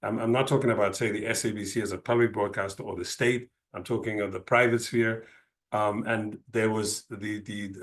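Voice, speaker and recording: Low-voiced man, halting. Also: a bit bright. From South Africa. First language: Afrikaans. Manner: thoughtful, halting, with frequent restarts. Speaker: panelist. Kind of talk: academic panel discussion.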